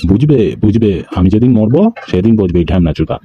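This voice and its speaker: A man's voice: on the low side, lively intonation, quick pace, lo-fi sound, slight background noise, lightly acted. A man voicing a cranky, scolding, foul-mouthed elderly grandfather in a comedy cartoon. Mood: scolding, irritated. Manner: scolding, heavy swearing.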